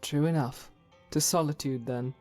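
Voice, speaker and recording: A woman voicing a devout, principled warrior companion in a fantasy game, formal, measured and earnest. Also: low-pitched, steady tone, a little mellow, soft background music, natural voice. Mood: solemn. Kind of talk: scripted fantasy game dialogue.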